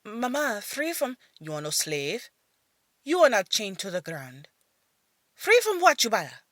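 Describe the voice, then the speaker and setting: A woman's voice: mid-range voice, huge pitch swings, measured pace, fairly bright tone, no echo, studio quality, full character voice. A woman voicing a practical, traditional middle-aged mother with a lecturing, questioning manner in an audio drama. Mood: irritated.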